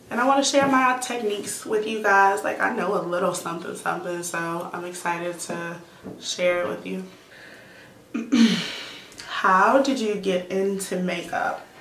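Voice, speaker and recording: A woman in her thirties answering viewer questions in a casual vlog, chatty and relaxed with many "um"s and "like"s. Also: lowish voice, echoey room, a little hiss or hum, low-quality recording. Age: 30s. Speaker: YouTuber, teacher. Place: indoor room at home.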